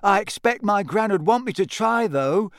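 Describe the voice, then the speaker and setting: A man's voice: high voice, animated, moderate pace, no echo, clean studio sound, voice pushed up. A man playing a gloomy, hesitant teenage schoolboy with a doubtful, put-upon manner in a storybook reading.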